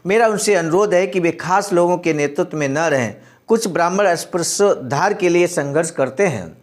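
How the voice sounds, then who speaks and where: Earnest, high-pitched man addressing listeners. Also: expressive, moderate pace. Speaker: speaker reading and commenting on a historical speech. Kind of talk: read-aloud speech with earnest explanatory commentary.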